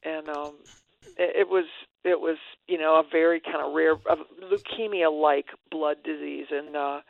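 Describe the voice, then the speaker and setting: A middle-aged woman from West Virginia, a guest singer speaking relaxed and reflective over a phone line. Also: deep voice, normal speed, vocal fry, no room sound. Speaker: guest singer on a radio show. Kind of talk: casual radio interview.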